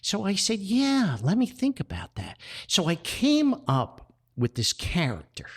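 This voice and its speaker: A man's voice: medium pitch, creaky, husky. A man hosting a podcast, telling personal stories in a casual, folksy, enthusiastic and chatty way. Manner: folksy and chatty, addresses listeners as friends. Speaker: podcast host and writer.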